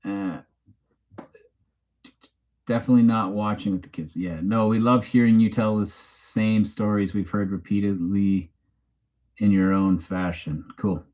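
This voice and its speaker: A man with a Canadian accent and a fairly low voice hosts a loose live chat. He talks off the cuff in an informal, rambling way, with a teasing streak. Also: fairly even intonation, measured pace, long pauses, darkish tone. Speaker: livestream host. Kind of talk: casual unscripted chat, reading live comments.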